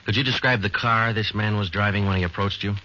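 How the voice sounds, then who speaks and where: Deep, deadpan 1940s radio police sergeant. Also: male voice, fast talker, a little hiss or hum. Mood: terse, deadpan. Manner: deadpan, matter-of-fact, terse. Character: stoic, businesslike male police sergeant, narrating his cases. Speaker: detective and narrator. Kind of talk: 1940s radio crime drama, clipped narration. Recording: dull old radio recording, loud music.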